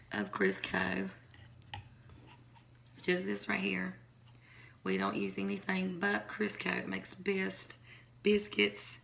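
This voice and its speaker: Hoarse woman, gravelly fry, rambling folksy kitchen chat, noisy phone recording. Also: mid-range voice, a bit bright. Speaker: home cook. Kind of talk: casual cooking demonstration. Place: home kitchen, indoors. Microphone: phone or camera on counter.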